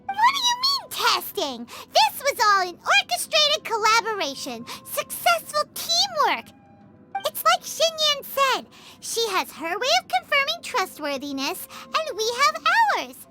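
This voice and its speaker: A tiny, cheeky game fairy, with a squeaky, extremely high voice. The delivery is animated and emphatic, bouncing widely in pitch, with prominent music underneath. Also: female voice, unhurried, heavily acted. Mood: cheeky, proud. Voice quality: squeaky, childlike. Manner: indignant, emphatic, refers to herself in third person. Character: tiny excitable childlike floating fairy companion, bossy and cheeky. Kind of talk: video game character acting. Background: background music.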